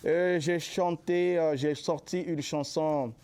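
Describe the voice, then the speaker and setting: A young man from the Republic of the Congo, a musician guest answering in a TV interview, halting with many drawn-out fillers. His is Lari. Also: on the high side, steady tone, darkish tone, smooth. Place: local TV studio.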